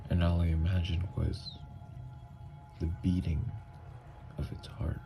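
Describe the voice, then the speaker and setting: A man playing a tormented, lovestruck young vampire in an intimate ASMR roleplay, speaking in a slow, halting, hushed murmur. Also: sits low, level tone, over music. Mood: haunted, brooding. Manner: slow, halting, hushed confession with long pauses. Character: tormented, lovestruck newly turned male vampire, confessing tenderly. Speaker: ASMR voice actor.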